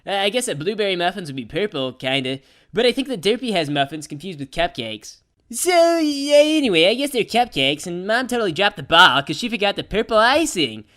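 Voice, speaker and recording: A woman's voice: medium pitch, big pitch swings, brisk, fairly bright tone. A woman voicing a brash young female pony who narrates a children's story in simple childlike phrases mixed with sardonic slang. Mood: dry, casual aside.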